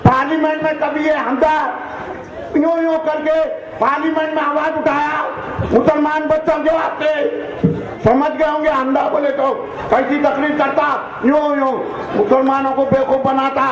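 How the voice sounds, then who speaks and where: A man's voice: extremely high pitch, flat delivery, moderate pace, a little hiss or hum. A man with a Hyderabadi Deccani accent giving an impassioned, exhortative political speech at a public meeting, repeating his appeals in colloquial phrases. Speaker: political speaker. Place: public meeting, loudspeaker address. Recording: echoing PA system, dull lo-fi, crowd.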